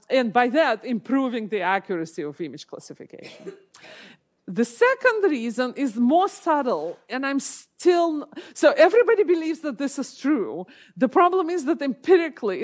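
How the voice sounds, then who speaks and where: A middle-aged woman giving an academic keynote lecture in a large hall, explaining carefully and often correcting herself mid-sentence. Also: on the high side. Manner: thoughtful, explanatory, frequent self-corrections. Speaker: keynote speaker, professor.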